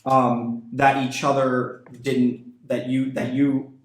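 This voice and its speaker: A man's voice: medium pitch, little pitch movement, slow pace. A man running a tabletop game as game master, hesitant and full of fillers. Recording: very echoey room.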